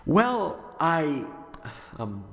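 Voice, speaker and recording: A man's voice: a little high, wildly swooping pitch, extremely slow, echoey room, long reverb tail, faint music behind, exaggerated voice. A man playing a hesitant, unsure professor in his thirties in a radio drama, stammering as he lectures. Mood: nervous, hesitant. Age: 30s. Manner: hesitant, stammering, nervous chuckles. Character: nervous, unsure young male philosophy professor.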